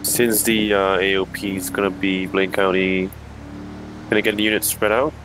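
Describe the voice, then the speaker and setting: A man making procedural radio call-outs as a roleplay unit leader, steady and businesslike. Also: deep voice, normal speed, noisy background.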